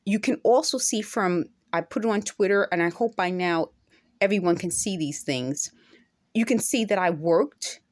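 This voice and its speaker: A woman's voice: medium pitch, normal speed. A middle-aged woman talking straight to her phone camera at home, earnest and insistent, returning again and again to her points. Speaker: vlogger. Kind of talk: direct-to-camera monologue. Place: indoor room at home. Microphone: phone camera held close.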